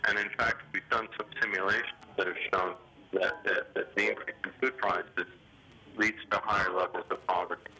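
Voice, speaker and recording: A man giving formal, hesitant economic commentary in a phone interview, speaking in a measured, official way over a telephone. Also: deep voice, steady tone, breathy edge. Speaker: development bank official being interviewed. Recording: sharp, grainy telephone line.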